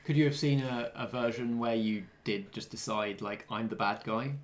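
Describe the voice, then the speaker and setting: A man's voice: mid-range voice, level tone, normal speed, a little hiss or hum. A man chatting casually as a game master, explaining things in a positive way. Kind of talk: casual group discussion.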